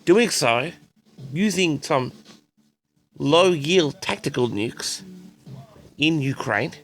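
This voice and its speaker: A man's voice: a little high, animated, slow, faint music behind. A man hosting a personal audio log, rambling casually and hesitantly, often repeating himself as he addresses his listeners. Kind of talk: rambling casual monologue to audience.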